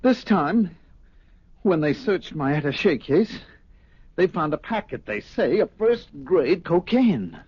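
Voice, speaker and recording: An actor playing a distraught, respectable middle-aged husband in a 1970s radio drama, husky, agitated and bitter. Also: male voice, a little high, sing-song, slow pace, dry recording, lo-fi sound, heavily acted. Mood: agitated, baffled.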